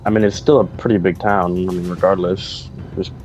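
A man's voice: low-pitched, fairly even intonation, brisk, a little mellow, soft background music, natural voice. A man improvising as a doubtful survivor in a zombie game skit, over lo-fi game voice chat. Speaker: player in a roleplay skit. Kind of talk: improvised game roleplay dialogue.

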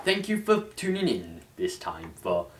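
A man's voice: low-pitched, huge pitch swings, a little slow, a bit bright. A young man reading a story aloud as host, in a mock-formal, theatrical storyteller's manner, recorded indoors a little way from the mic. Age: young adult. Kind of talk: mock-dramatic scripted narration. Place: small indoor room at home. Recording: roomy camera sound.

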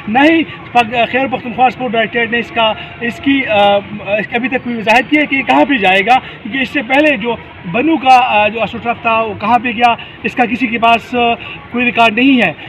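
A man in his forties giving an on-location news report at an outdoor stadium, pausing often with fillers as he talks. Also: very high-pitched, fairly bright tone, slight background noise, poor audio. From Pakistan. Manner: hesitant, with many filler pauses. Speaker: field reporter. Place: outdoor hockey stadium, daytime.

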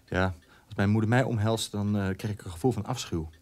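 An actor playing a bitter, neglected German son in a radio drama, in a halting, conversational monologue. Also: male voice, on the low side, a little mellow, close to an everyday voice. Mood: disgusted. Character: bitter German son born 1960, neglected by his father.

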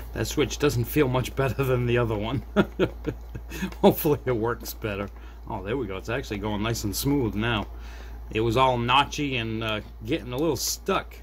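Middle aged guy, normal pitch, chatting off the cuff while he fixes stuff at his desk, bit of room noise. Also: normal speed. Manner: unscripted, thinking aloud while working. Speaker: hobbyist repair video maker. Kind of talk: casual hands-on repair demonstration, talking to viewers. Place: indoors at a desk workbench. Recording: background noise, room ambience.

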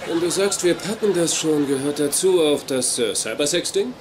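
An actor playing a lewd, teasing young nerd in a dubbed sitcom, with a suggestive manner. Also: male voice, on the high side, moderate pace, noisy background, natural voice.

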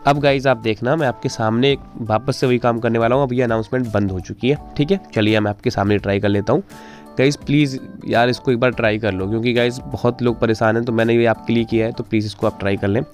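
Fast-talking man, casual phone tutorial. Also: medium pitch, steady tone. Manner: repetitive, pleading, addressing viewers informally. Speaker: tech tutorial host. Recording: music playing behind the voice. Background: instrumental background music.

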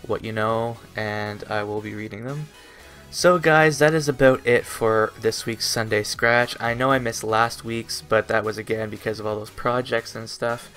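A man in his twenties talking in a casual, rambling vlog style, hesitant and halting as his thoughts trail off. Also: mid-range voice, fairly bright tone, smooth. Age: 20s. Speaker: YouTube vlogger. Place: small bedroom, indoors.

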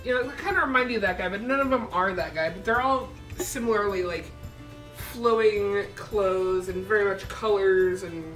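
A young woman runs a tabletop game as game master, narrating off the cuff in a casual way. She sounds distant from the mic in a roomy space, with music clearly behind her. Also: mid-range voice, normal speed, a bit bright. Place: living room around a table.

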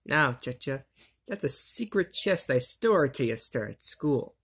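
A woman voicing a calm, boastful male magician teacher in an amateur anime fandub, smug and instructing. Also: extremely low pitch, measured pace, breathy edge, voice pushed down, full character voice. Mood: explaining.

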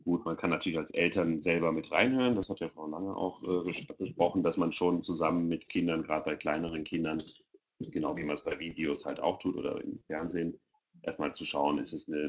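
A man's voice: very deep voice, fairly even intonation, brisk. A middle-aged man informally answering questions as a guest expert on a video call. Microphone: headset on a video call. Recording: dull, lo-fi video-call audio.

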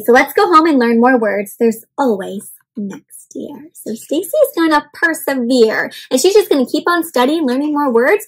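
A young woman reading a picture book aloud to children in an animated, interactive way, stopping often to ask her young listeners questions. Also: a little high, husky, poor audio. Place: indoors in front of a leafy backdrop.

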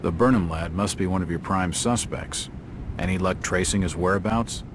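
An actor playing a wry, persistent hard-boiled detective in a video game, sardonic and probing. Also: male voice, sits low, normal speed, noisy background, natural voice.

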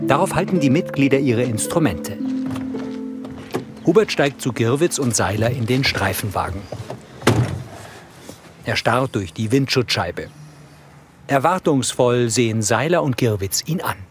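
A man narrates the scenes of a TV drama in scripted audio description. His voice is medium in pitch, with clearly audible music behind it. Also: animated, a little slow, pauses often.

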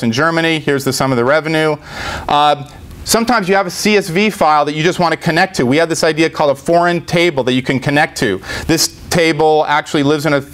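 Higher-voiced man presenting a demo. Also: a bit bright. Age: middle-aged. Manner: explanatory, informal, step-by-step. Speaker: tech presenter. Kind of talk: live software demo presentation. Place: indoor meetup presentation room.

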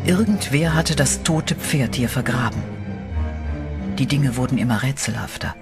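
A man narrating a horror audio drama in a measured, dark storytelling manner. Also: mid-range voice, lively intonation. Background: music.

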